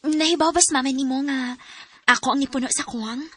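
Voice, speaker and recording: A devoted young wife in a radio drama, with a high, bright voice. She is patient and self-sacrificing, speaking in a gentle, reassuring, conversational manner. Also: a little fast. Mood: patient, accepting.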